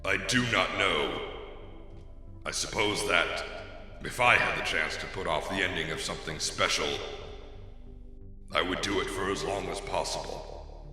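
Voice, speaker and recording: A man's voice: sits low, unhurried, pauses often, bright, forceful tone, soft background music, exaggerated voice. A man playing a skeletal pony of death, weary, formal and pedantic, with an echo effect, in a fan audio drama. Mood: wistful. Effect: heavy echo reverb. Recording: very echoey with long reverb.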